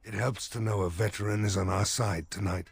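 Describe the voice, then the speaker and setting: An actor voicing a gruff, weary, plain-spoken rustic village mayor in a fantasy video game. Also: male voice, on the low side, fairly even intonation, brisk, dry recording, full character voice. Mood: cautiously relieved.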